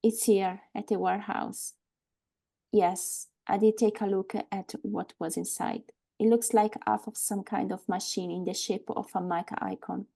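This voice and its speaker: A frank, composed woman with an undercurrent of unease, played by an actress at a live table read of a film script. Her tone is mellow and relaxed at a medium pitch. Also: a little slow, creaky. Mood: uneasy, respectful. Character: earnest, frank warehouse woman named Aoife, uneasy but composed.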